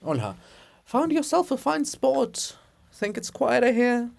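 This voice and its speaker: A man's voice: extremely high pitch, very animated, a little slow, gravelly, pitched above the performer's own voice, exaggerated voice. A man voicing a flustered, complaining monument of a scholar, out of breath, in a theatrical reading. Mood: flustered, grieving.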